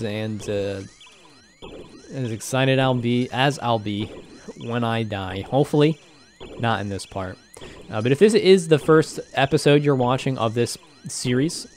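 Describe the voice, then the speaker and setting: A young man with a slightly hoarse voice talks over his gameplay in a loose, rambling way. He is often self-deprecating and laughs easily, and his pitch sits in an ordinary middle range. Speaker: gaming commentator. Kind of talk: casual game commentary.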